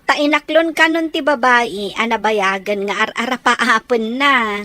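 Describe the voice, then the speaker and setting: A woman's voice: fairly high pitch, measured pace, sharp tone. A woman acting an affectionate, teasing older sister who gives advice in an Ilocano audio drama. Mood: reassuring.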